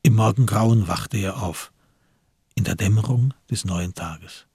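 A man with a husky, gravelly voice narrating a literary radio drama from a script. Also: lowish voice, measured pace, a little mellow, no echo, clean studio sound.

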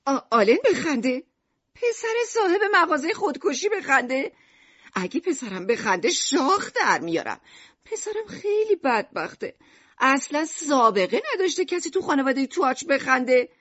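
A woman voicing an irritable, gloomy shopkeeper mother in her thirties in an audiobook, with a sharp, scoffing manner. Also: high-pitched, very animated, no echo, highs cut off, voice pushed up. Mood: angry, scoffing.

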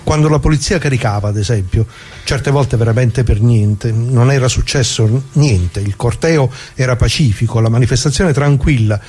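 An actor playing an elderly working-class Italian former bricklayer in a radio drama monologue, plain-spoken, halting and folksy as he reminisces. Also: mid-range voice, darkish tone, slightly rough, close to an everyday voice. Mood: nostalgic, earnest. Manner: folksy, halting, with rhetorical tags.

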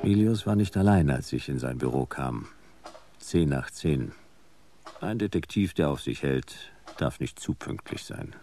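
A man playing a world-weary, middle-aged private detective in a noir radio play, speaking in a dry, clipped, deadpan way. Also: extremely low pitch, measured pace, faint music behind, full character voice. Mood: wry, reflective. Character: laconic, world-weary male private detective narrating his case.

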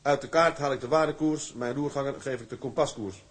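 An actor playing an earnest young sailor in a radio drama, speaking respectfully and politely. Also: male voice, mid-range voice, a little fast, husky, close to an everyday voice. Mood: reciting. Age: young adult.

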